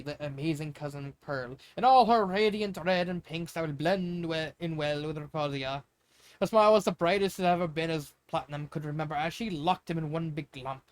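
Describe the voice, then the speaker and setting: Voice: medium pitch, lively intonation, a little fast, dry recording. An amateur storyteller reads fan fiction with dramatic intent. The delivery is informal and stumbles now and then, with words corrected on the go.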